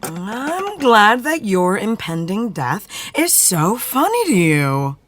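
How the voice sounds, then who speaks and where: A woman playing a defiant young sister in a supernatural drama, speaking deliberately and often dropping to a whisper. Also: medium pitch, very animated, no echo. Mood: defiant, pleading. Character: tense young woman, defiant sister confronting brother's possessor. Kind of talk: dramatic scripted acting.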